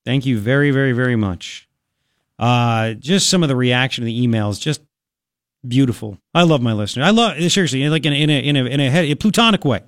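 A man's voice: mid-range voice, expressive, brisk, dry recording, studio quality. A man with a Long Island, New York accent hosting opinionated political talk radio, emphatic and colloquial, repeating phrases for effect.

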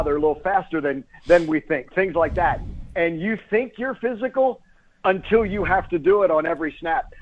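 A sports announcer calling in to a radio show, a man with a fairly high voice squeezed through a thin phone connection. He talks casually and chattily, repeating phrases for emphasis as he breaks down the game. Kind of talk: casual sports interview analysis. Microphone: phone call. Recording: narrow phone-line sound on radio.

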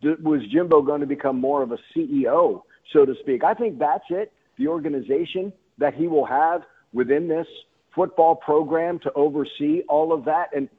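Husky, emphatic man on sports radio. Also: on the high side, moderate pace, phone-quality sound. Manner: emphatic, repeats and rephrases himself. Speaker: sports play-by-play announcer, radio guest. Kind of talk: sports talk radio interview.

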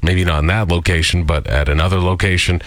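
A man's voice: deep voice, steady tone, fast talker, fairly bright tone, dry recording. A man from the Canadian prairies co-hosting a radio show, telling stories in a rambling way with lots of fillers and chuckles. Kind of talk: casual radio banter.

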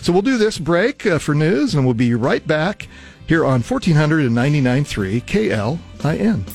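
An older American man hosting a radio talk show, speaking casually in a halting, reflective, rambling way on a close studio microphone. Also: a little high, very animated, darkish tone, faint music behind. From Nebraska, United States.

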